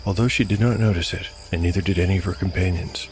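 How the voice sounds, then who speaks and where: A man's voice: sits low, fairly even intonation, quick pace, a little mellow, a little hiss or hum. A man hosting an audio drama podcast, speaking in a scripted storytelling style. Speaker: host, narrator and game master of an audio drama podcast. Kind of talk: scripted fantasy storytelling narration.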